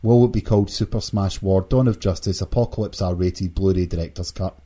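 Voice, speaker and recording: A man with a Glaswegian accent hosts a casual pop culture podcast. His voice sits on the low side and sounds distinctly husky. He riffs and teases in a jokey way. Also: fairly quick, warm tone. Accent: Glaswegian Scottish. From Glasgow, Scotland. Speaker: podcast host.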